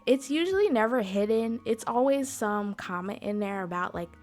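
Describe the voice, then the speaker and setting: A woman's voice: on the high side, expressive, normal speed, darkish tone, faint music behind. A woman hosting an informal explainer talk in a chatty, conversational way, speaking directly to her listeners. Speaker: convention panel host.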